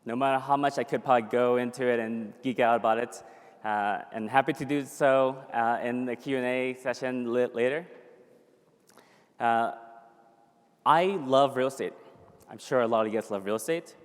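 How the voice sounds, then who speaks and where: A man in his thirties giving an upbeat conference presentation, pitching with some fillers and restarts. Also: moderate pace, smooth. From born in a refugee camp in Thailand, raised in the US. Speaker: conference speaker. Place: conference hall. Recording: long hall reverb.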